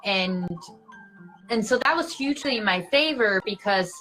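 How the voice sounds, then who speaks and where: A woman's voice: medium pitch, unhurried, faint music behind, poor audio. A young woman from Switzerland with a Swiss accent, an actress chatting casually as a guest on an interview show, full of "like" and "you know". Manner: animated, fillers like "like" and "you know".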